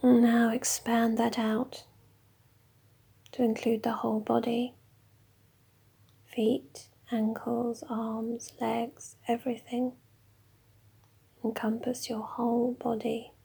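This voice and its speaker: A woman leading a guided meditation in a slow, soft, mostly whispered voice. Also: a little high, completely flat delivery, gravelly, breathy edge.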